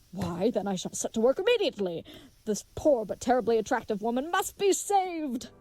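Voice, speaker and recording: A woman's voice: fairly high pitch, very animated, moderate pace, warm tone, a little hiss or hum. A woman playing a gallant, dim and earnest leathersmith turned would-be knight, with pompous, flowery speech in a comedic audio drama. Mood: bold, boastful.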